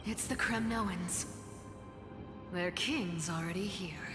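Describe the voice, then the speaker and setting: A woman voicing a sassy, sceptical young female demigod in an anime game, sardonic and sharp-tongued. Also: fairly low pitch, slow pace. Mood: wry. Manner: teasing, sardonic. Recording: echoing game audio under music.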